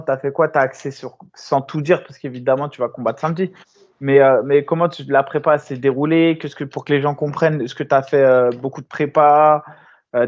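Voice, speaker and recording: A man's voice: a little high, dull audio. A man hosting a casual interview, asking questions informally and rephrasing them as he goes. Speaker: podcast host, interviewer.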